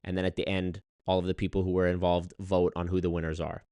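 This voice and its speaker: A man telling a story on his livestream in an animated, exasperated way, swearing often and reading chat. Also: low-pitched, fast, clean tone, no room sound, studio quality. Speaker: streamer. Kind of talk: casual livestream rant.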